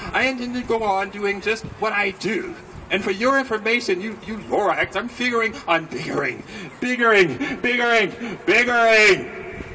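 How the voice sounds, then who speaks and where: A man's voice: sits high, pushed and bright, full character voice. A man voicing a defiant, hot-tempered, greedy factory owner from a children's storybook, blustering over an outdoor microphone. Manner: blustering, repetitive emphasis. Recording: windy outdoor PA, distant, noisy.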